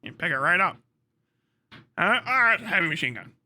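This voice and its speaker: A man's voice: high-pitched, big pitch swings, normal speed, pushed and bright, voice pushed down, heavily acted. A man livestreamer voicing a dim, timid gun namer who is easily daunted, in a hesitant comic skit. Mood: hesitant, matter-of-fact.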